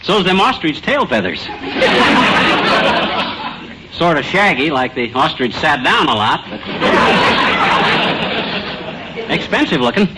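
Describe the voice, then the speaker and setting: An actor playing a cheerful, folksy, bragging American husband in an old-time radio comedy, talking in eager slang. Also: male voice, on the high side, big pitch swings, takes it slowly, long pauses, over a noisy crowd. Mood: eager, pleased. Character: cheerful folksy bragging husband buying his wife a gift. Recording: noisy old radio recording.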